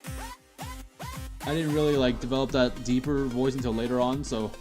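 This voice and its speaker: A young man chats casually on his stream, rambling and restarting his sentences as he reminisces. His voice is in an ordinary middle range. Soft music plays faintly in the background. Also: mid-range voice, wildly swooping pitch, unhurried. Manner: rambling, many fillers and restarts.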